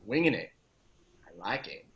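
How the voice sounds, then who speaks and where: A man's voice: on the low side, sing-song, moderate pace, fairly bright tone, breathy edge, exaggerated voice. A man playing a wry, gung-ho activist in a dramatic table read, speaking in clipped, punchy phrases. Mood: sardonic, urgent. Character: McKenna, wry, gung-ho male militant activist. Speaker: actor.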